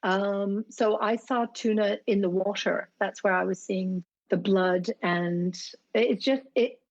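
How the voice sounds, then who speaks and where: A woman being interviewed, telling personal stories in an earnest, vivid and deliberate way. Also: mid-range voice, dull audio. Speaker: interview guest, shark conservationist. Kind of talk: reflective personal storytelling.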